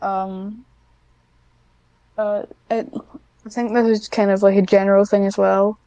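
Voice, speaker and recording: A woman's voice: medium pitch, flat delivery, a little slow, mellow, clean tone, dry recording, rough recording. A young woman chatting casually as an interview guest, with a self-deprecating, dryly joking manner. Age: young adult. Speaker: podcast guest, script writer.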